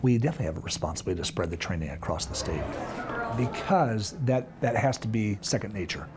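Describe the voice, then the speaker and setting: A man's voice: lowish voice, fast, noisy background. A man who is a government education official, answering questions in an interview. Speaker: state education commissioner.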